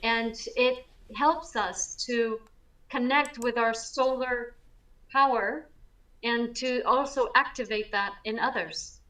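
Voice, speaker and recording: A woman co-hosting a spiritual astrology show, talking in an energetic, emphatic way in casual chat over a video call. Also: fairly high pitch, slow, distant mic, rough recording. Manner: energetic, emphatic, self-described loud. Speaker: co-host, astrologer.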